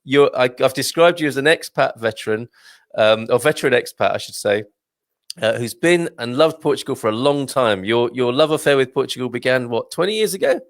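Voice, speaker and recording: A man's voice: mid-range voice, animated, a little fast, no echo. Enthusiastic man hosting, dry close sound. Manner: friendly, enthusiastic, with hesitant restarts. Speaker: host. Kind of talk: casual chat show hosting.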